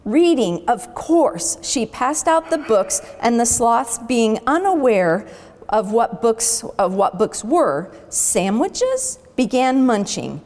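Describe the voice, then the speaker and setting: Woman reading a storybook, long reverb. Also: a little high. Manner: expressive, dramatic pauses between phrases. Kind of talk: children's storybook read-aloud.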